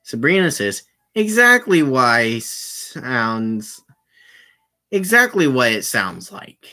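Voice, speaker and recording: This is a game streamer with a bright, pushy edge to a mid-pitched voice. The delivery is slow and informal, loose with swearing, moving between chat to viewers and reading game dialogue out loud. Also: sing-song. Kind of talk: casual live stream, reading visual novel dialogue aloud.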